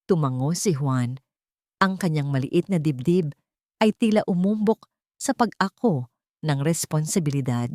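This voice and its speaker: Low-voiced Filipino woman storyteller, dry sound. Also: darkish tone, dry recording. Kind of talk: scripted dramatic narration of a love story.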